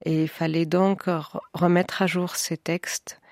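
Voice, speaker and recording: A woman's voice: fairly low pitch, flat delivery, slow, a little mellow, no echo. A woman from France and Switzerland, an artist guest in a studio interview, speaking thoughtfully and searching for words. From born in France, raised in Switzerland.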